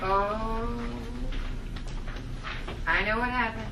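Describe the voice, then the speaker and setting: Woman as a high-voiced, earnest nerdy college girl. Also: steady tone, extremely slow. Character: nerdy, sheltered college birthday girl, timid but curious. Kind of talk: comedic script table read. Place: room at a live table read. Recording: echoey room, distant mic, loud noise.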